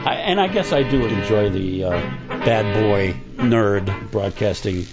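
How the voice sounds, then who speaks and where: A man's voice: lowish voice, expressive, a little slow, loud music behind the voice. A man in his sixties with an American accent, talking casually in an interview. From Milwaukee, United States. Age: 60s. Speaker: pirate radio operator being interviewed.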